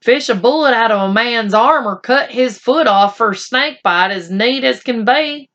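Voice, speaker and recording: A little storybook cowboy, played by a woman, pitched up well above a plain reading voice. He is folksy and given to whining and boasting, drawling in frontier slang on a slightly dull recording. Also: measured pace, a bit bright. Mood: folksy, boastful. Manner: drawling folksy frontier talk with dropped endings. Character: tiny male Old West cowboy, folksy, whining, boastful.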